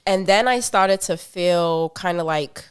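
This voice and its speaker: A woman from Providence, Rhode Island, a podcast guest telling her story in an animated, casual way. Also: lowish voice, smooth. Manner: animated storytelling, quick bursts when excited. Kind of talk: casual interview chat.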